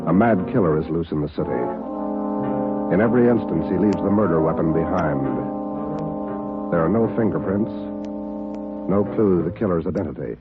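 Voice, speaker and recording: Deep, grave radio announcer man. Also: normal speed, long pauses. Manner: grave, clipped, portentous. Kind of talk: dramatic 1950s radio introduction. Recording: muffled old radio recording, loud brass music.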